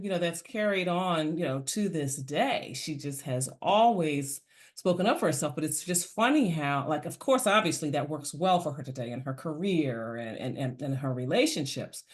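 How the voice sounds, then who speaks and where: A woman hosting a parenting podcast, reflecting aloud and sharing personal stories in a relaxed interview. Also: sits low, moderate pace. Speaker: podcast host. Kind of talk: casual interview chat.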